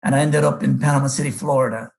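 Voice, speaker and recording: A man's voice: mid-range voice, fairly even intonation, fast talker, gravelly, a little mellow. A middle-aged man from the Republic, a baseball coach telling his life story unhurriedly in an interview, with many fillers. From Dominican Republic.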